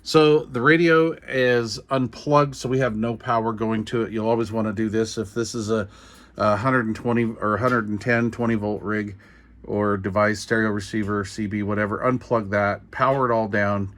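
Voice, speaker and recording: A middle-aged or older American man giving an informal hands-on how-to explanation in an unhurried, halting, folksy manner. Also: lowish voice, fairly even intonation, a bit bright. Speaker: repair tutorial host. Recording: clear background noise.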